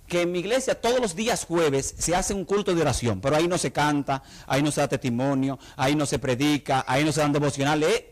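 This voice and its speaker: A middle-aged man from the Dominican Republic with a Dominican accent, preaching a sermon and acting out the voices of the people he quotes. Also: a little high. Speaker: pastor.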